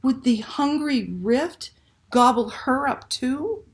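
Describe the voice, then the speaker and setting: Slow-paced woman reading a children's story aloud. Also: high voice, a little hiss or hum. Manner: dramatic, animated delivery with hushed passages and exclamations. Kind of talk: expressive read-aloud picture book storytelling to children.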